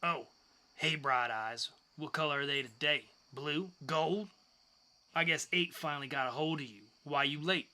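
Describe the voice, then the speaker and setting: Man playing bright-voiced, casual comic-book barfly. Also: fairly high pitch, moderate pace. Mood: familiar. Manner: colloquial, familiar. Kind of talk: comic book dramatic reading.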